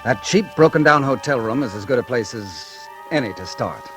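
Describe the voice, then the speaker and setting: A man's voice: mid-range voice, lively intonation, normal speed, natural voice. An actor playing a bitter, world-weary ex-convict who narrates his own story in 1940s radio acting style. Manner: wry, hard-bitten first-person narration. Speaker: narrator and lead character. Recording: old radio broadcast with music.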